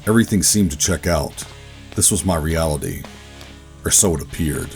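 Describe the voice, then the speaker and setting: A man playing a weary rogue operative, gruff but tender, narrating a sci-fi audio drama in a brooding, deliberate way. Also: very deep voice, darkish tone. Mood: brooding, resolute. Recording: music bed under the voice. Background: dramatic music.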